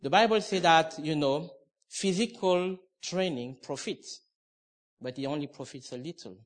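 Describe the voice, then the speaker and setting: A man's voice: on the high side, a little slow. A middle-aged man from Cameroon preaching a sermon, emphatic and conversational, with restarts and asides.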